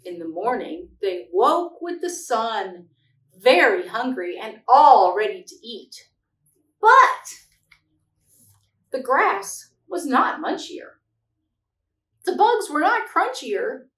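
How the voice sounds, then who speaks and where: A middle-aged woman with a fairly high voice reads aloud for young children. She takes her time and explains things in simple, expressive phrases. The sound is echoey, as if she sits some way from the microphone. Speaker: children's read-aloud host. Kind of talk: picture book read-aloud and gentle talk to young children. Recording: echoey room, distant microphone.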